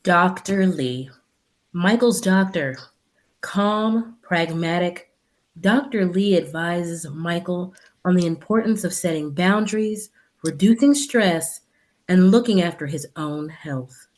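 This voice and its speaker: A woman's voice: fairly low pitch, takes it slowly, a little mellow. A woman reading scripted character introductions for an audio play.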